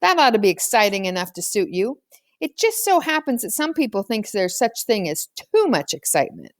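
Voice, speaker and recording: A woman voicing a feisty, sharp-tongued girl, sarcastic and combative, in a children's storybook reading. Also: medium pitch, big pitch swings, brisk, no room sound, studio quality, close to an everyday voice. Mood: indignant. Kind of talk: children's novel read aloud.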